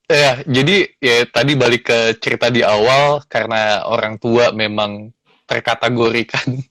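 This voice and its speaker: A man's voice: mid-range voice, level tone, normal speed, a bit bright, dry recording. A man in his thirties, a lawyer guest in a casual interview, speaking earnestly and reflectively with many fillers. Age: 30s.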